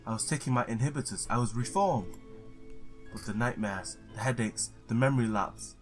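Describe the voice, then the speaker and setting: A man's voice: mid-range voice, moderate pace, soft background music. A man doing a let's play, reading visual novel text aloud, stumbling now and then and blurting his own reactions.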